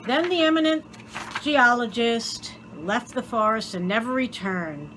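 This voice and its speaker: A woman reading a children's story aloud in an expressive, theatrical way, with deliberate dramatic pauses. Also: fairly high pitch, noisy background. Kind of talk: expressive scripted story narration.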